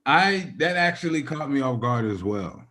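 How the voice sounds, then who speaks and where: A young man co-hosts a relaxed online chat at an ordinary middle pitch. He talks in an easygoing way, a little hesitant, and often stops and restarts his sentences. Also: moderate pace. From Houston, Texas. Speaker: co-host. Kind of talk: casual live-stream chat.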